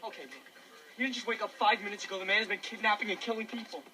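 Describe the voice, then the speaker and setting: A man's voice: sits high, steady tone, rapid-fire, recorded from a distance, noisy background, close to an everyday voice. A young man acting an agitated, suspicious teenage amateur sleuth in a thriller film, stammering and urgent. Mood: angry, desperate.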